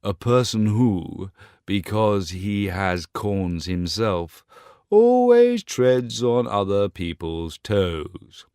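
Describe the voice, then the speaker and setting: A man voicing a dry, sardonic male Roman candle who speaks low and slow. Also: sing-song, a little mellow, clear, resonant tone, dry recording, full character voice.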